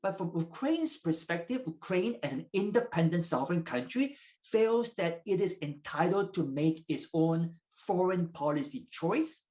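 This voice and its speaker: A man in his thirties, a native Korean speaker raised in Russia, explaining as a guest professor in measured, lecture-like answers. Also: sits high, expressive, darkish tone. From South Korea, raised in Russia. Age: 30s.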